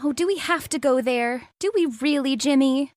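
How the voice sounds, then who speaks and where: A woman playing a sweet, playful but nervous young fiancée in an old-time radio drama, coy and expressive. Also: sits high, normal speed, dry recording, lightly acted. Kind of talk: 1930s radio drama acting.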